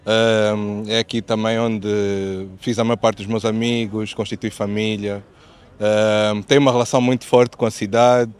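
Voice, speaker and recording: A man from Angola with a clear, even voice introduces himself calmly. He leaves hesitant fillers between his thoughts. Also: lowish voice, a little slow. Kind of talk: interview answer.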